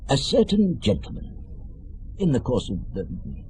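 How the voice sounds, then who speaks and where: A slow, mellow old gentleman rogue with hugely swooping intonation, in a radio drama. He is oily and mock-polite, circling every point with coy, evasive hesitation. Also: mid-range voice, wildly swooping pitch, slightly rough, dry recording, exaggerated voice. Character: oily, genteel old male rogue selling secrets.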